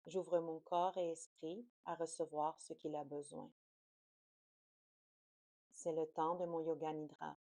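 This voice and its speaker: A woman guiding a yoga nidra relaxation in a soothing, slow, unhurried manner. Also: deep voice, little pitch movement, warm tone, dry recording. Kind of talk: guided relaxation meditation.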